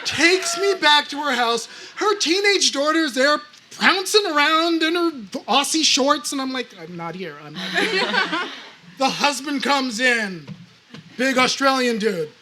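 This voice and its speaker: A man's voice: very high-pitched, big pitch swings, measured pace, pushed and bright, slight background noise. A man from the United States, a panelist author, telling a story animatedly on a live panel and acting out other people's voices.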